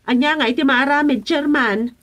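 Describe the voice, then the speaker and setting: Female actor as concerned villager, Ilocano accent, radio comedy. High-pitched, questioning. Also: moderate pace, a bit bright.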